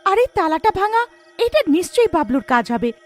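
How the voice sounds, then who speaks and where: Woman voicing a high-pitched, fearful young girl. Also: very animated, moderate pace, faint music behind. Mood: suspicious. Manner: urgent, pleading, fearful. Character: frightened young girl, bossy older sister. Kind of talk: cartoon horror story acting.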